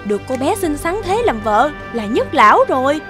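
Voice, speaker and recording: Scheming, high-voiced field mouse. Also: female voice, sing-song, moderate pace, music in the background. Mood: wheedling, eager. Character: greedy scheming female field mouse matchmaker. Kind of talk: children's fairy-tale cartoon acting.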